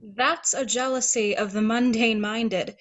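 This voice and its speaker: A woman's voice: fairly high pitch, steady tone, unhurried, lightly acted. A woman playing an assured, lofty saint from heaven in a play table read, speaking formally.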